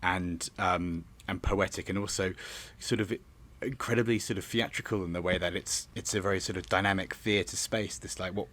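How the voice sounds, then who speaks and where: A man's voice: low-pitched, fairly even intonation, normal speed, fairly bright tone. A man giving a rambling, hedging interview answer as a theatre director. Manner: rambling, hedging with many 'sort of's.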